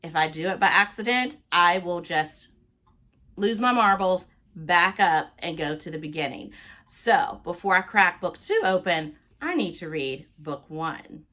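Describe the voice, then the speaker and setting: Expressive, chatty woman book vlogger. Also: lowish voice, unhurried. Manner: expressive, playful, emphatic. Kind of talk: casual, chatty talk to viewers.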